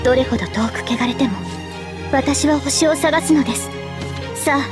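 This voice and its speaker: A woman voicing a young, regal and gentle guardian spirit of a holy sword in an anime game, speaking politely and with measured poise. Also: sits high, lightly acted. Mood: solemn, heroic. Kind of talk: Japanese anime game voice acting. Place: studio. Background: faint background music.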